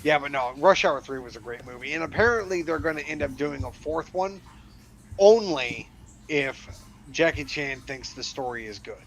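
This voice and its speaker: A man's voice: on the high side, expressive, moderate pace, slight background noise, rough recording. A man co-hosting a podcast in casual chat, rambling with restarts and swearing. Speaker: podcast co-host.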